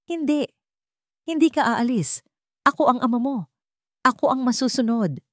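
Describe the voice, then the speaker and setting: A woman's voice: sits high, normal speed, no room sound, studio quality, pitched above the performer's own voice, lightly acted. Woman voicing a stern, desperate father. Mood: firm. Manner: commanding, firm. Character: stern, desperate billionaire father, commanding and angry.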